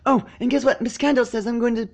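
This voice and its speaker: A man voicing an excitable young girl unicorn pony, cheerful and gushing, in a storybook reading. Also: extremely high pitch, quick pace, dull audio, pitched above the performer's own voice. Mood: thrilled. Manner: giddy, gushing.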